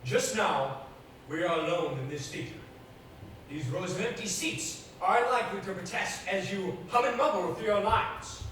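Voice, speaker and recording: Male actor as imperious theatrical tutor, high-pitched and declamatory, stage play heard in a very echoey hall. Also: fairly bright tone. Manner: pompous, declamatory, deliberate diction. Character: imperious, exacting male acting coach with grand theatrical flair. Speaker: stage actor in an amateur two-man play. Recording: very echoey theater, distant mic, noisy.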